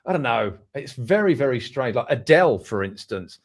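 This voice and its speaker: Gravelly older man with a London accent. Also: medium pitch, moderate pace. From Essex, England. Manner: chatty, laughs often, animated impressions. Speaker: musician guest. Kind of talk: casual interview chat.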